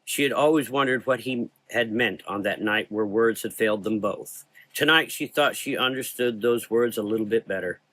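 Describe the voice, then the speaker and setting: A man's voice: on the low side, moderate pace, a bit bright, no room sound, poor audio. A man narrating a scripted gothic horror story as the narrator of an audio drama.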